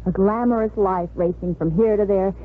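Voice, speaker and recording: An actress playing a disillusioned young bride-to-be in a 1950s radio drama, with a wry, world-weary manner. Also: female voice, mid-range voice, fairly even intonation, normal speed, close to an everyday voice. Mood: wry, flat resignation. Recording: muffled, noisy 1950s radio recording.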